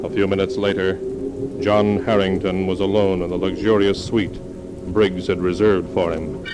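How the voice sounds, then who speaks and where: A man narrating an old radio mystery as an ominous storyteller, solemn, deliberate and dramatic. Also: sits low, little pitch movement, over music, close to an everyday voice. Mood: grave, ominous. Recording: noisy old radio recording.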